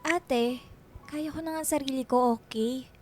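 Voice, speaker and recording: High-voiced, sassy Filipino schoolgirl. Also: measured pace, darkish tone, a little hiss or hum. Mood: irritated, defiant. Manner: curt, sassy. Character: defiant, hard-headed schoolgirl younger sister Winalyn. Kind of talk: Filipino radio drama acting.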